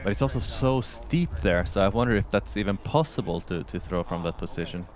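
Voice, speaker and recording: Male sports commentator, Swedish accent, native Swedish speaker, low voice, conversational live delivery. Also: animated, brisk. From Sweden.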